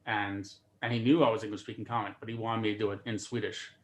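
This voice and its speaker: A man's voice: on the low side, level tone, fast. A man, a stand-up comedian, chatting casually on a video call and often repeating words as he starts his sentences. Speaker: comedian guest.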